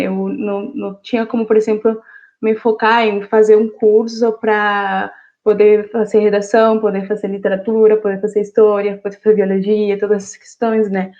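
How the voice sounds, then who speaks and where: A woman's voice: mid-range voice, darkish tone. A woman from Venezuela, a native Spanish speaker, telling her story in an interview in a reflective way with many fillers and restarts. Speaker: interview guest, university student. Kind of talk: casual interview answer. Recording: dull lo-fi audio.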